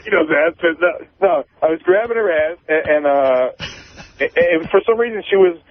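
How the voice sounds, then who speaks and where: A man calling in to a radio show, chatting in a halting, repetitive, defensive way with plenty of swearing. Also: fairly high pitch, no room sound. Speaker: show caller. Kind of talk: casual confessional phone-in chat. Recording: tinny band-limited phone line.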